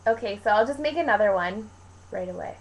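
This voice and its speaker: A young woman from Canada speaks in a medium-pitched voice. She chats casually as a vlogger, answering viewer questions in loose, colloquial, wandering sentences. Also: expressive, fairly quick, low-quality recording. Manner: rambling, informal, colloquial with frequent "like". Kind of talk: casual chat to camera, Q&A vlog.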